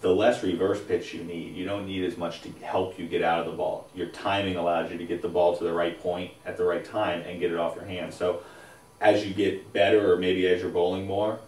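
A man with a very low voice gives relaxed, rambling advice as a show co-host. He is recorded on a lapel mic in a small studio, and he sounds a little distant in a roomy, echoing space. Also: a little fast. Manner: relaxed, explanatory, stacks up phrases with fillers. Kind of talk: casual expert advice chat. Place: indoors, small studio against a plain backdrop. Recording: roomy echo, voice a bit far from mic.

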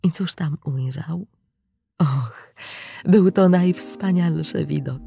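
Woman narrator, deep register, dramatic storytelling, slow and deliberate, clear music underneath. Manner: deliberate, expressive, with pauses and hushed endings.

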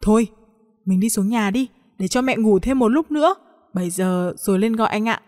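Woman reading as calm middle-aged wife, reverberant. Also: mid-range voice, brisk, close to an everyday voice. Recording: long hall-like reverb on the voice.

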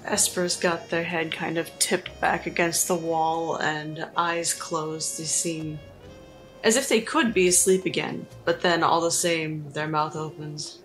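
A woman's voice: deep voice, fairly even intonation, unhurried, a bit bright, soft background music, low-quality recording. A woman player narrating her character's actions in a tabletop roleplay game.

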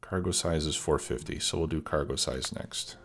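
A man with an extremely low, deep voice talks through a game at an easy pace, stuffed up from a cold. He thinks aloud with plenty of ums and uhs while music plays clearly behind him. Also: moderate pace. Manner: relaxed, thinking aloud with many fillers. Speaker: let's play host. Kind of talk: casual gameplay commentary. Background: background music and keyboard typing.

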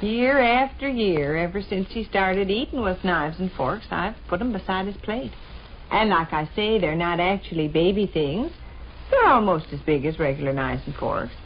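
A woman's voice: fairly low pitch, lively intonation, moderate pace, close to an everyday voice. An American woman playing a teasing, practical housewife and mother in a folksy, chatty 1940s radio comedy style. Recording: dull, noisy 1940s radio recording.

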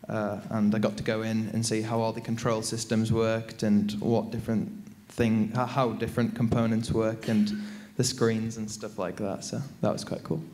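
A teenage boy from Iraq tells a story in a relaxed, plain way, holding a mic on a conference stage. His voice sits fairly low and moves very little in pitch. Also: moderate pace, few pauses. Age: teens. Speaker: student panelist. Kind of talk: telling a personal story on a panel. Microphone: handheld mic.

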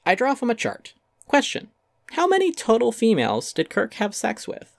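A man's voice: very high-pitched, wildly swooping pitch, moderate pace, creaky, no echo, clean studio sound. A man giving a dramatic reading of a critical essay, wry and articulate with theatrical emphasis. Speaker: essay narrator.

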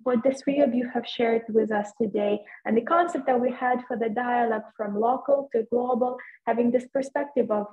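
A woman's voice: a little high, steady tone, normal speed. A woman in her thirties moderating a panel on a video call, giving formal, appreciative remarks. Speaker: moderator giving closing reflections. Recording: thin phone-like video-call audio.

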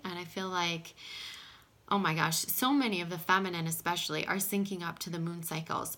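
Female card reader, bright voice roughened by pollen, gentle and reassuring, recorded at a table in a small home room. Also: medium pitch. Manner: soft-spoken, drifting into whispers, frequent "okay?". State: scratchy voice from pollen. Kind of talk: casual oracle card reading.

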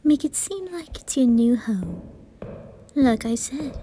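A woman's voice: fairly high pitch, big pitch swings, slow, warm tone, a little hiss or hum, heavily acted. A woman voicing a haughty, bratty teenage aristocrat boy in an anime ASMR roleplay, imperious and mocking. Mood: coolly hospitable.

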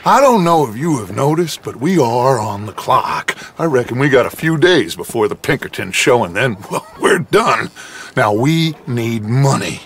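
An actor playing a persuasive American outlaw gang leader in a western game, speaking with theatrical urgency. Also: male voice, medium pitch, very animated, moderate pace, a little hiss or hum. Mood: scheming, wry. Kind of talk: video game cutscene acting.

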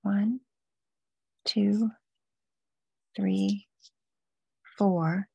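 A woman's voice: medium pitch, fairly even intonation, extremely slow, creaky, darkish tone, husky, no echo, low-quality recording. A woman patiently walking viewers step by step through knitting stitches in a live online demo. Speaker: knitting instructor.

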